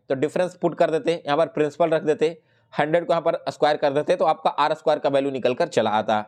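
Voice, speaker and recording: A man speaks in a slightly high voice. He explains material like an exam-prep teacher, talking straight to his students and dropping English terms into his sentences. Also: a little mellow. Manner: instructional, direct address to viewers, English terms mixed in.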